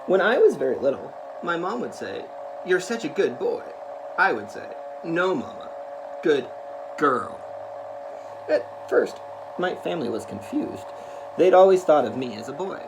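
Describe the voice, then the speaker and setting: A man speaking to children in an earnest, careful, deliberate way, as in a read-aloud. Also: on the high side, very animated, noisy background. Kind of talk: read-aloud of a children's picture book with spoken introduction.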